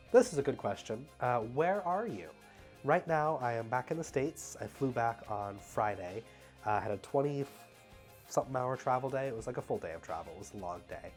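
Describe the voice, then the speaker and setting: A young man with a stuffy nose, talking casually at home in a rambling, halting way full of fillers. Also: fairly low pitch. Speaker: travel vlogger. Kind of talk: casual vlog Q&A.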